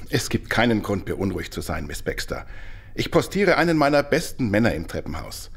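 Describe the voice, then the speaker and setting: An actor playing a reassuring, confident police superintendent in a radio drama. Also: male voice, lowish voice, very animated, fairly quick, creaky, slightly rough, full character voice.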